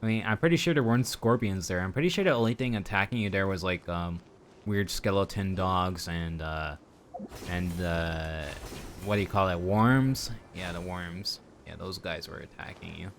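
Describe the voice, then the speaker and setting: A man's voice: low voice, moderate pace, a little hiss or hum. A man doing casual, rambling live commentary on a video game, playfully chatting to his viewers, with game music behind him. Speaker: game streamer.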